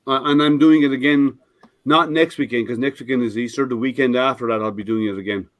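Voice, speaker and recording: A man's voice: medium pitch, steady tone, a little fast, no room sound. An older man hosting a casual live stream, his voice a little scratchy, explaining his printer with many restarts and fillers. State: slightly scratchy voice.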